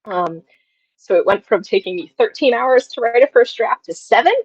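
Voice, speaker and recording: A woman's voice: fairly high pitch, lively intonation, moderate pace, slightly rough, no echo, muffled recording. A young woman chatting casually as an interview guest, effusive and given to telling things through quoted asides. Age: young adult.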